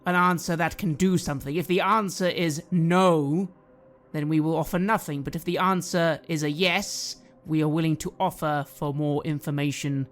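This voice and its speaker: A man playing a stern, proud inquisitor in a tabletop fantasy game, negotiating in a firm, deliberate way. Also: a little high, steady tone, a bit bright, lightly acted. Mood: firm, bargaining.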